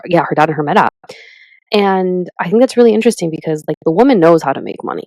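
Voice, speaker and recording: Low-voiced chatty woman podcaster. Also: fast, no echo. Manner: chatty and animated, says "literally" and "like" often. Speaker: podcast co-host. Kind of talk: casual chat.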